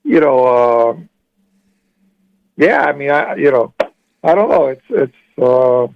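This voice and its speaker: A man's voice: mid-range voice, lively intonation, takes it slowly, vocal fry, a little hiss or hum. A man calling in to a radio show, chatting casually in a hesitant, rambling way and repeating himself. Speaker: phone-in caller. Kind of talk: casual chat. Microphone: phone call. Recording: dull lo-fi radio call.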